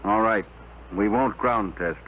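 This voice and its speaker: An actor playing a bold, plain-spoken rocket builder and pilot in a 1950s radio drama, on an old broadcast recording. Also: male voice, fairly low pitch, unhurried, natural voice. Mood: determined. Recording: muffled, hissy 1950 radio broadcast.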